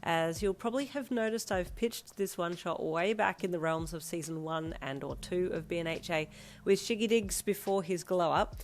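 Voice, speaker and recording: A woman with a deeper voice, chatty and tomboyish, reading her own audio fanfiction. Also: normal speed. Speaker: fanfiction reader.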